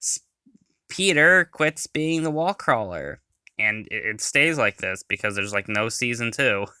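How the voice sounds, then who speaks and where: A man's voice: mid-range voice, expressive, unhurried, sharp tone, smooth, no echo, studio quality. A man giving an informal review as a voice-over host, conversational and hedging his opinions as he goes.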